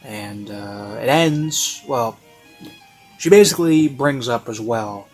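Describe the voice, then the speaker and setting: Man, medium pitch, casual reviewer, rambling and hesitant, frequent fillers, classical music faintly behind. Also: lively intonation, slow pace. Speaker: online reviewer. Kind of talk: casual unscripted review commentary.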